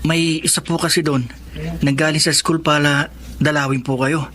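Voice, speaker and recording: An actor with a Filipino accent playing a polite, cheerful young blind former student in a radio drama. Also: male voice, on the high side, little pitch movement, normal speed, fairly bright tone, a little hiss or hum, close to an everyday voice. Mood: respectful.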